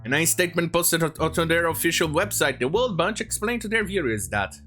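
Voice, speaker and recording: An online commentator delivering an opinionated, sarcastic rant in a halting, sweary way, sometimes reading aloud. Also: medium pitch, lively intonation, a bit bright, faint music behind.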